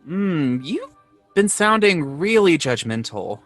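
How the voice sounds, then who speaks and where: A man playing an anxious, defensive man who confides haltingly and self-consciously in a naturalistic audio drama. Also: on the high side, very animated, fairly bright tone, faint music behind, full character voice. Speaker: podcast host playing himself in audio drama.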